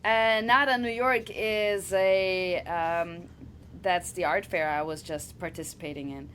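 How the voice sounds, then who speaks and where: German-native woman, lower voice, chatty. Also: fairly even intonation, fairly bright tone, a little hiss or hum. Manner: laughing, halting, restarts often. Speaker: wrestler guest. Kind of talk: casual interview chat.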